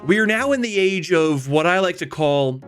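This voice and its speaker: Animated man narrating a video essay. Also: sits high, moderate pace, soft background music. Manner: conversational, wry asides.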